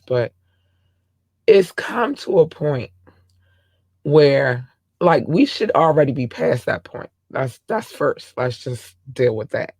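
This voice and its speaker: Hoarse male podcast host, gravelly and dry, passionate and rambling. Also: medium pitch, darkish tone, no room sound. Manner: impassioned, rambling with restarts. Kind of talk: casual talk-show commentary.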